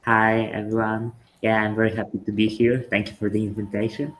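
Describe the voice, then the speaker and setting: A man's voice: on the low side, little pitch movement, a little fast, low-quality recording. A young man from Poland, a podcast guest joining by video call, answering casually and hesitantly with many fillers and small laughs. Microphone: video call webcam.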